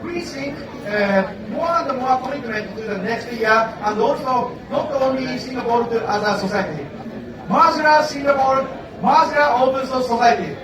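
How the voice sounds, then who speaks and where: A Japanese man speaking halting English with a Japanese accent, answering audience questions as a conference speaker, pausing often to search for words. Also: very high-pitched, background noise. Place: conference hall. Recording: echoey hall, distant mic, audience around.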